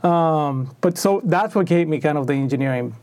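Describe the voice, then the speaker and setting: A man's voice: on the high side, moderate pace, darkish tone. A man from Venezuela answering interview questions in a thoughtful, conversational way, often restarting his sentences. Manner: thoughtful, many restarts and fillers. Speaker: packaging engineer, interview guest.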